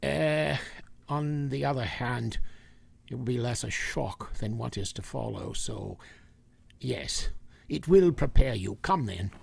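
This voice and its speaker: An actor playing a grave older professor who hunts vampires in a radio drama, lecturing with hesitant deliberation in a gravelly, husky voice. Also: male voice, mid-range voice, lively intonation, slow pace, slight background noise, exaggerated voice. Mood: measured, commanding. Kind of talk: vintage radio drama acting.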